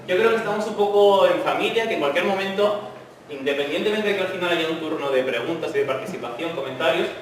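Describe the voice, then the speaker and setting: A man's voice: fairly high pitch, distant mic, slight background noise. Man lecturing in an echoey hall. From Spain. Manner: informal, asks audience to interrupt, tag questions. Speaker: conference speaker. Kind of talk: university lecture talk.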